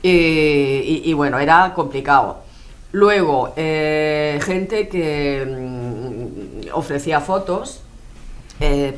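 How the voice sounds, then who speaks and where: Low-voiced Spanish woman journalist. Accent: Madrid Spanish. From Spain. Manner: thoughtful, hesitant with many fillers. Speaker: guest, journalist and filmmaker. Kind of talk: radio interview.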